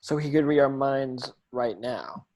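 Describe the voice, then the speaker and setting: A man's voice: medium pitch, a little slow, darkish tone, lightly acted, low-quality recording. A man playing an earnest, unsure teenage schoolboy wizard in a script read, hesitant and questioning. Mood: anxious curiosity.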